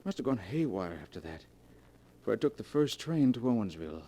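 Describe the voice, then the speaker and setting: An actor playing a haunted, fearful husband with anxious melodrama, in the manner of 1940s radio acting. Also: male voice, fairly low pitch, sing-song, brisk, warm tone, slight background noise. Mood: shaken, confiding. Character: haunted, panicky husband, guilt-ridden and fearful.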